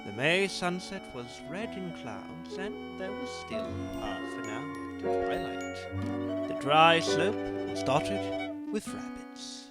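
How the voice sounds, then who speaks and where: A man reading scripted storybook narration. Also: medium pitch. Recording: music behind the voice.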